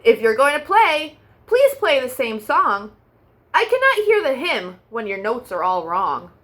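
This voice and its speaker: A woman's voice: high voice, big pitch swings, a little slow, a bit bright, heavily acted. Woman doing a bossy old tulip conductor. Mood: cross. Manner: cross, bossy. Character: fussy old male tulip choir conductor.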